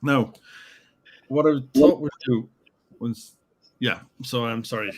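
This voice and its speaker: A man's voice: mid-range voice, takes it slowly, darkish tone. A man with an Irish accent hosting a casual chat over a video call. He talks in a chatty, hesitant way with lots of fillers. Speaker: podcast host.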